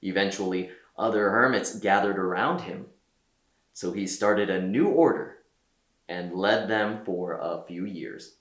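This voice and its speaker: A man reading a children's story aloud as a storyteller, with theatrical delivery and deliberate pauses. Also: low-pitched, roomy sound. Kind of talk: expressive read-aloud narration for children.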